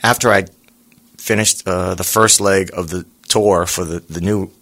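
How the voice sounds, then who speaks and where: Gravelly low-voiced man, rambling casually. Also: unhurried. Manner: relaxed, rambling with many fillers and restarts. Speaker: singer guest. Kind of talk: casual interview chat.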